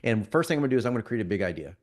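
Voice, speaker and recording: A man getting over a cold, presenting a live marketing software demo to an audience in a conversational, salesy way, often restarting his sentences. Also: lowish voice, very animated, very fast.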